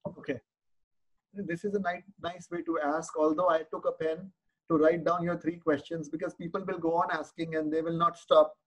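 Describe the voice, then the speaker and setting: A man's voice: a little high, level tone, moderate pace, fairly bright tone, rough recording. A man is teaching in a live online Q&A. He explains each point earnestly and patiently, and doubles back on words while he searches for the next one. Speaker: Reiki teacher answering questions.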